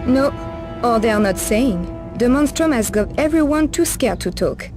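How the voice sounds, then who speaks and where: A woman's voice: on the high side, lively intonation, a little slow, music in the background. A woman playing a world-weary, streetwise Parisian streetwalker in a video game, knowing, familiar and sardonic. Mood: uneasy. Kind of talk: video game cutscene acting.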